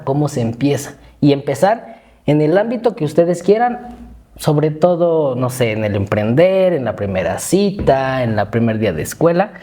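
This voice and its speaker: A man's voice: fairly high pitch, dark tone. A man in his thirties with a Mexican accent, co-hosting a podcast in a chatty, joking way and reading out his own essay. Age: 30s. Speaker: podcast co-host. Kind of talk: casual podcast chat.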